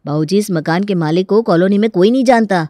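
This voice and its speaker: A woman voicing a bold, scheming young family man in a Hindi animated story, exasperated and persuasive in a colloquial way. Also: mid-range voice, fairly quick, no echo, studio quality, close to an everyday voice.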